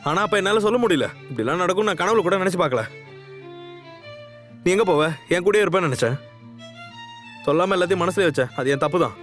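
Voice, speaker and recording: An actor playing a nervous, defensively proud young man in love, rambling and halting, in a conversational short-film style. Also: sits high, expressive, fairly bright tone, faint music behind. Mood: hurt, bitter. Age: young adult. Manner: halting, rambling, self-justifying.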